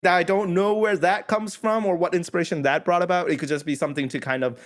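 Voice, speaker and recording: A man in casual podcast conversation, animated and often laughing, sometimes halting and restarting while he thinks. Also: high-pitched.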